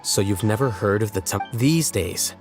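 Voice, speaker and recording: A man's voice: fairly low pitch, normal speed, music in the background, lightly acted. Actor as a composed, scholarly young man. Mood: explanatory. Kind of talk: video game dialogue.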